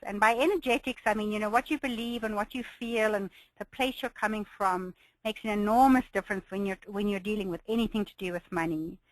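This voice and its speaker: A woman runs a pricing webinar, speaking in a slightly hoarse voice from a cold. She sounds encouraging and upbeat and often laughs lightly between her points. The sound is dry and close to a computer mic. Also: mid-range voice, fairly even intonation, fairly quick, few pauses, no echo, poor audio. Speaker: business coach hosting a webinar. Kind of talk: webinar presentation.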